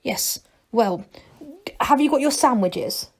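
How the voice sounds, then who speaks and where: A woman's voice: on the high side, animated, slow, a little mellow, exaggerated voice. A woman voicing a cautious, patient male gnome leader with a hesitant, fussing manner in a children's storybook. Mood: puzzled.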